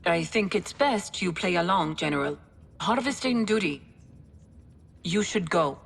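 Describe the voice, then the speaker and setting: An actress playing a dry, wry female military adviser with a husky voice, briefing in a matter-of-fact way in a game cutscene. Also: fairly low pitch, expressive, measured pace, slight background noise. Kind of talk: video game cutscene acting.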